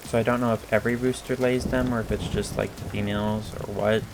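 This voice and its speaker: A hoarse man giving casual, hesitant commentary over a video game, thinking aloud with frequent pauses and fillers. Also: on the low side, flat delivery, noisy background. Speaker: gameplay video creator. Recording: clear game music under the voice. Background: game music and rain sounds.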